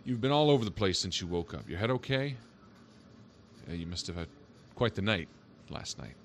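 A man's voice: low voice, expressive, moderate pace, a little hiss or hum. An actor playing a patient, bemused male police officer in a naturalistic audio drama, questioning in a conversational, hesitant way.

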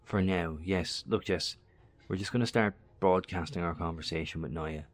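An actor playing a grave, wary professor surviving in a bunker in a horror audio drama, solemn and deliberate. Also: male voice, low voice, natural voice. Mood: wary, tense.

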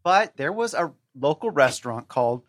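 A man's voice: fairly high pitch, sing-song, moderate pace, dry recording, clean studio sound. A man speaking as a podcast guest in casual chat, with a wry, emphatic way of talking.

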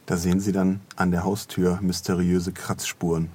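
A man's voice: deep voice, little pitch movement, normal speed, dark tone, slightly rough. A man co-hosting a podcast in a casual, conversational style, often tagging remarks with little questions. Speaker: podcast co-host.

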